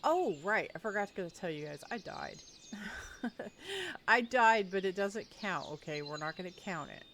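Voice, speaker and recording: A woman's voice: mid-range voice, animated, unhurried, a bit bright, slight background noise. A woman chatting casually to viewers while playing a game, sounding congested and sniffly from a cold, giggling now and then. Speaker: gaming video creator. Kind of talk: casual let's-play commentary.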